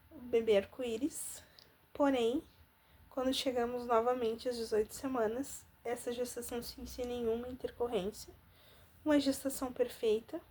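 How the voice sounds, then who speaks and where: Nasal-sounding woman in her thirties, fairly high voice. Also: a little mellow. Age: 30s. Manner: earnest, with frequent "né?" and restarts. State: nasal from congestion. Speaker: vlogger. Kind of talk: personal testimony vlog.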